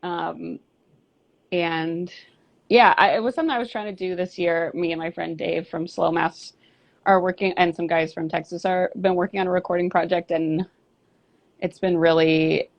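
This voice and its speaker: A woman's voice: on the low side, steady tone, moderate pace, creaky. A woman musician chatting candidly as an interview guest, rambling with fillers and restarts. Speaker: band singer and bassist, guest. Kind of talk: casual interview chat. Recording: lo-fi dull audio.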